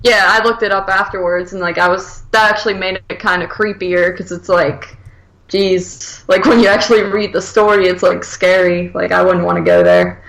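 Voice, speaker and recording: A woman with noticeable vocal fry talks as a podcast co-host. She is informal and conversational, filling her casual film chat with "like". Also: on the low side, little pitch movement, normal speed, a bit bright, poor audio. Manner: informal, conversational, heavy use of "like".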